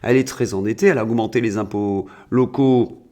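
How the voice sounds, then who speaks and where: A man's voice: fairly low pitch, fairly quick, a little mellow. A man delivering opinionated political commentary to his viewers, sardonic and emphatic, with rhetorical questions and repeated words. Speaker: political video commentator and host.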